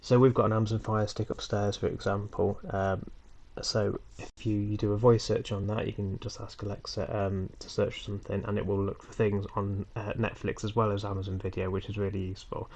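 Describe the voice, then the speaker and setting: A man with a head cold walking viewers through a screen-recorded tutorial at home in a relaxed, rambling way, with frequent ums and small self-corrections. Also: on the low side, a little fast, hardly any pauses, a little hiss or hum. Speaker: tutorial host. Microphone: computer mic for a screen recording.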